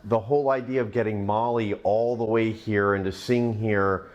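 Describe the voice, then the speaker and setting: A man's voice: fairly low pitch, fairly even intonation, moderate pace, highs cut off. A man, a film director, telling behind-the-scenes stories in an interview, enthusiastic and rambling with frequent restarts. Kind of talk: interview, storytelling anecdotes.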